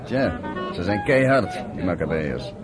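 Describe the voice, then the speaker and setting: An actor playing a coarse, jeering British interrogator bantering in a radio drama. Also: male voice, deep voice, sing-song, measured pace, over music. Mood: jeering, jovial.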